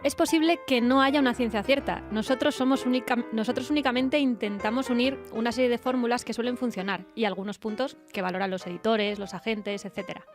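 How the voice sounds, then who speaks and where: A woman's voice: fairly high pitch, steady tone, fairly quick, few pauses, over music. A woman with a Spanish accent from Spain hosting a conversational literary radio interview. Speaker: radio host interviewing a writer.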